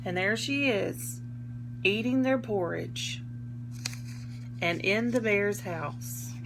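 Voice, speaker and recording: A woman reading a picture book aloud to young children like a teacher, expressively, pausing to explain words in simple terms. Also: medium pitch, vocal fry, background noise.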